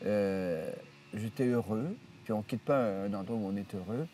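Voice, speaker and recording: A man, a priest telling stories from his life in an interview, rambling with many hesitations and restarts and lively retelling of what others said. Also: on the low side, a little slow, distant mic, a little hiss or hum. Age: 70s. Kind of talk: storytelling interview. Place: outdoors in nature.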